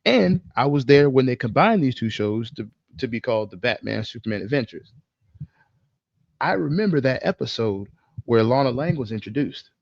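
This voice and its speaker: A man co-hosting a podcast, telling stories in an animated, slangy, casual way with plenty of swearing. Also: mid-range voice, normal speed, creaky, dry recording, lo-fi sound. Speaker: podcast co-host. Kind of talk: casual chat and storytelling.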